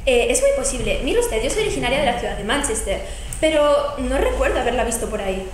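A woman's voice: high voice, big pitch swings, fast talker, a little hiss or hum. A teenage girl from Spain, playing a courteous lady who marvels politely at each coincidence. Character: courteous, wondering English lady. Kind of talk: amateur school stage acting. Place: large school hall stage. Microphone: far camera. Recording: echoey hall from far off.

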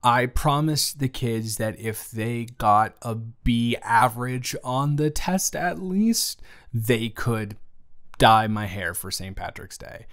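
A man acts out a flustered young male best friend in a romantic audio roleplay. His mid-pitched voice is conversational and hesitant, with a teasing, caring manner. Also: expressive, slow, a bit bright. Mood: sheepish, playful.

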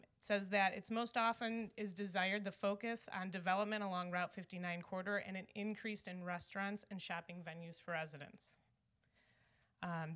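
A woman in her thirties speaks up at a public meeting as a resident. Her medium-pitched voice carries a nervous shakiness as she makes her case. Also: fairly bright tone. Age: 30s. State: nervous, shaky voice.

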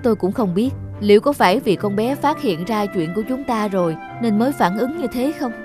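A woman's voice: medium pitch, animated, brisk, over music, close to an everyday voice. Woman acting a worried, earnest cartoon mother. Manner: earnest, concerned. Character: worried, weary wife and mother. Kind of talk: cartoon dubbing.

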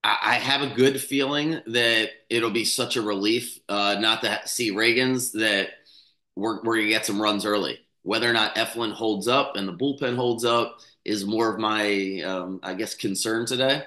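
Kinda hoarse guy in his 30s riffing on baseball, lowish bright voice, echoey little room. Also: steady tone. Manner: fast, rambling, with restarts and fillers. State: slightly hoarse from yelling. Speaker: sports betting podcast host. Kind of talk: casual sports commentary. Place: small room with a bookshelf, indoors. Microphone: studio mic on a stand close in front.

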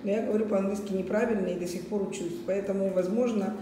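A woman's voice: medium pitch, fairly even intonation, fast talker, warm tone. A middle-aged woman with a Russian accent chatting casually and asking questions, recorded in a very echoey kitchen-dining room. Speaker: vlogger interviewing a child. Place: hard-surfaced open kitchen-dining room. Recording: very echoey room, distant, noisy.

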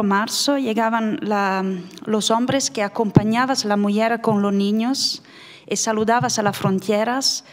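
A middle-aged woman with an Italian accent, speaking non-native Spanish as a humanitarian aid worker on a panel, halting and searching for words. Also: mid-range voice. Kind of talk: panel discussion. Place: conference hall, indoors. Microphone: desk microphone. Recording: reverberant conference hall.

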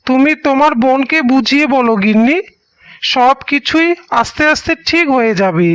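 A woman plays a soft-hearted young village husband in a cartoon tale. The voice is high and closely recorded with a dry sound, measured and reassuring in manner. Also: lively intonation, clear, resonant tone, no room sound. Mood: calm, reassuring. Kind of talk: cartoon story voice acting.

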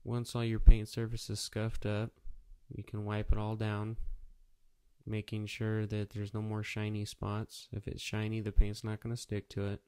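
A man's voice: fairly low pitch, completely flat delivery, normal speed, dark tone, clean tone. A man narrating a casual step-by-step how-to tutorial in a plain, practical, matter-of-fact way. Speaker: auto painter giving a how-to tutorial.

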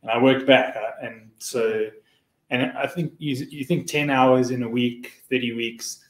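A man's voice: medium pitch, fairly even intonation, measured pace. A man talking informally as a panelist. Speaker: webinar panelist. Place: indoor meeting room. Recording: roomy, echoey meeting room.

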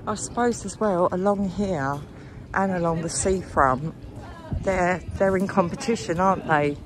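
Middle-aged British woman, chatty walking-tour host addressing viewers, recorded outdoors with clear ambient noise. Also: on the low side, unhurried. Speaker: vlog host. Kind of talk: casual walking-tour chat. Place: outdoors, walking along a marina promenade. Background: outdoor seafront ambience.

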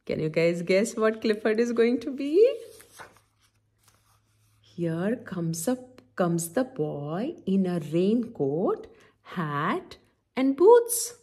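Slow, expressive woman reading to children. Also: mid-range voice. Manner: expressive, instructive, addresses children with frequent questions. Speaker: children's story-time host. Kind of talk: picture book read-aloud for young children, with questions. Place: indoor room at home, by a window.

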